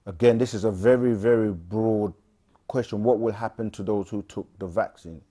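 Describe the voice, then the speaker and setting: A man co-hosting a casual livestream, reading viewer questions aloud and answering them with frequent pauses and fillers. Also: lowish voice. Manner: relaxed, frequent "um" and restarts, reads questions aloud.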